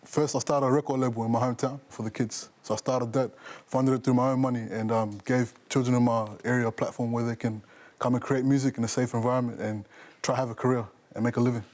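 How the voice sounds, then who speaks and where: A young Australian man with an American-influenced accent, earnestly sharing his personal story in quick lists. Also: mid-range voice, little pitch movement, darkish tone. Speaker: panel guest. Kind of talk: personal testimony.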